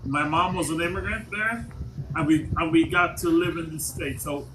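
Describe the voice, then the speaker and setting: A man from Jamaica hosting a casual live chat, talking haltingly with many repeats and restarts. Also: a little high. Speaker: livestream host. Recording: noisy stream audio.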